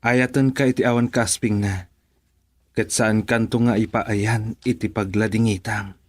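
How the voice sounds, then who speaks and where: A man's voice: fairly low pitch, steady tone, unhurried, lightly acted. A man acting a humble, devoted young tailor in a radio drama, earnest and respectful, with a Filipino accent. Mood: tender, anxious.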